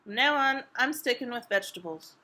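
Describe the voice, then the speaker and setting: Woman storyteller as male storybook farmer: blustery, dismissive grumbler, high bright delivery, easily worked up. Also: animated, a little fast. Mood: grumbling.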